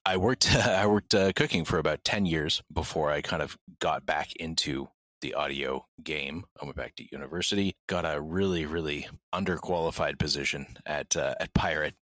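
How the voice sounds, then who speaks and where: A man's voice: extremely low pitch, moderate pace, fairly bright tone, no room sound. A man chatting casually as a podcast guest about his own work as an audio producer and musician. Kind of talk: casual interview chat.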